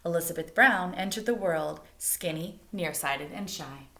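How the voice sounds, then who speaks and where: A woman reading a picture book aloud like a teacher, expressively, pausing to explain things and put questions to young listeners. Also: lowish voice, sharp tone. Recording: roomy, echoing indoor sound.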